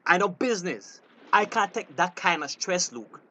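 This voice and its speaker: Anxious male fisherman, acted, high voice, Belizean Creole accent, urgent and exasperated, honest temper, drama dialogue with slight noise. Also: very animated, normal speed, pushed and bright, muffled recording, heavily acted. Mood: worried. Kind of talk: naturalistic dialect radio drama acting.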